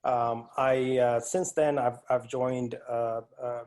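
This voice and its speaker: A man's voice: medium pitch, little pitch movement, takes it slowly, darkish tone. A man in his forties from East Africa with an East African accent, answering interview questions as a guest with many hesitant fillers and restarts. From East Africa (Ethiopia and Kenya). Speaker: guest, IT strategist. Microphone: remote call.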